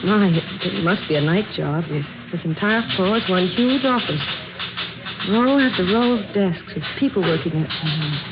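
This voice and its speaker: A woman's voice: fairly low pitch, expressive, moderate pace, close to an everyday voice. An actress playing an eager, polite young woman job seeker in an old-time radio drama, earnest and hesitant as she thinks aloud. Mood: uneasy, wondering. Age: young adult. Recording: dull, noisy vintage radio recording.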